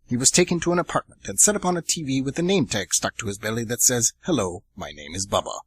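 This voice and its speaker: Male narrator for an audio drama, animated delivery, a bit breathy. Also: medium pitch, fast talker, no echo. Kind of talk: scripted storybook narration.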